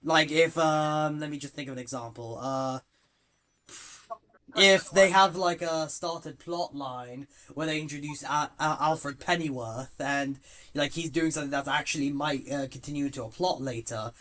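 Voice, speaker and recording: A young man hosting a casual podcast chat, excitable and swearing, often stumbling over his words. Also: on the high side, moderate pace. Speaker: podcast host.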